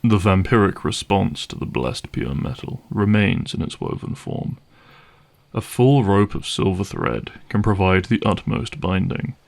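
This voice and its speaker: A man acting a slow, gravelly, menacing vampire hunter. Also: low-pitched, darkish tone, heavily acted. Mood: coolly matter-of-fact. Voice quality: gravelly, creaky. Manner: slow, deliberate, sardonic. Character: cynical, menacing male vampire hunter interrogating a captive. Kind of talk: audio roleplay acting.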